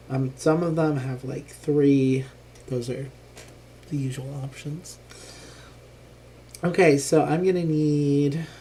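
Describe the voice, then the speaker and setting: Unhurried host with a lingering cough, chatty think-aloud game commentary, light room noise. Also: mid-range voice, vocal fry, darkish tone. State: lingering cough after illness. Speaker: live streamer. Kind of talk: casual live board game playthrough commentary.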